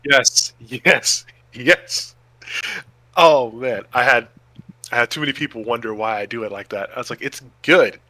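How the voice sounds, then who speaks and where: A man co-hosting a podcast in a casual, enthusiastic way. His voice is bright and forceful at a middle pitch, and he often breaks into exclamations. The sound is dry, with very little room on it. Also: a little slow, no room sound, low-quality recording. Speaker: podcast co-host. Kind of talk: casual chat about food.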